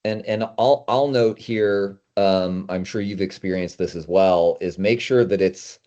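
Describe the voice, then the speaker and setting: A man with a deep voice hosts a casual interview. He chats in a practical, conversational way. The recording is a little dull and lo-fi. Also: a little slow, mellow. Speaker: host. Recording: dull, lo-fi audio.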